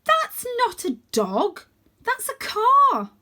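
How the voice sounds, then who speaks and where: A woman's voice: extremely high pitch, wildly swooping pitch, slow pace, pushed and bright, voice pushed up, full character voice. A woman voicing a streetwise female cat in a children's storybook, blunt, incredulous and teasing. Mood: baffled, incredulous.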